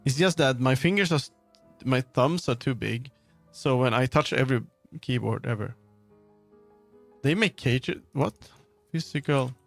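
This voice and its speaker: A man livestreaming, chatting casually with viewers in a rambling, halting way as he muses aloud. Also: medium pitch, gravelly. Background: background music.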